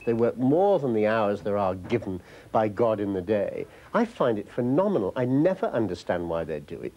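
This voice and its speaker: A man talking reflectively and emphatically in an interview as a political correspondent, letting the ends of phrases trail away quietly. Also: mid-range voice, lo-fi sound. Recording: clear background noise.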